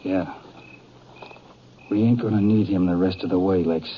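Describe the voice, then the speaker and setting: An actor playing a cold, domineering killer in a 1950s radio western, with terse, menacing American speech. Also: male voice, deep voice, steady tone, moderate pace, lightly acted. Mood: cold menace. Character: cold, domineering killer outlaw, ordering his brother. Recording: dull, noisy old radio recording.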